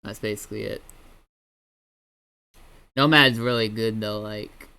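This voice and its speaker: A man who streams, talking casually in a halting, rambling way and often backtracking mid-sentence. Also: fairly low pitch, steady tone, creaky, bright, forceful tone, smooth. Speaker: streamer and roleplay server founder. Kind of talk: casual livestream chat.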